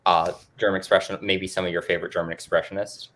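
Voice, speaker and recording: A man's voice: deep voice, little pitch movement, fast, fairly bright tone. A man hosting a casual interview, asking his guests questions in a conversational way. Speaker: interview host. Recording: clear background noise.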